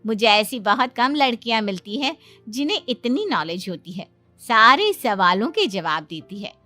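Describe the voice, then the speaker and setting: A woman's voice: fairly high pitch, very animated, normal speed, pushed and bright, soft background music. A woman voicing a friendly, encouraging lady doctor chatting with a family in an acted comedy story. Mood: pleased, advising.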